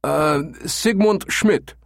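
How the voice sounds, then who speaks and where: Over-eager German man, high-pitched. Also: extremely slow, vocal fry, no room sound, studio quality, heavily acted. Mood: flustered, insistent. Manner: broken English, blurting, over-eager. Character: bumbling German man faking an eager, pleading tourist.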